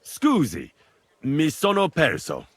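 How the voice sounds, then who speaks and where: An actor playing a plain-spoken, earnest young hero in a video game, with a dry, understated manner. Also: male voice, fairly high pitch, huge pitch swings, slow pace, creaky, breathy edge, no room sound, exaggerated voice. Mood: attentive.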